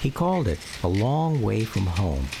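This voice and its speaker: A man narrating a scripted radio drama about his family in a measured, literary storytelling manner. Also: fairly low pitch, very animated, vocal fry, background noise. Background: loud music under the voice.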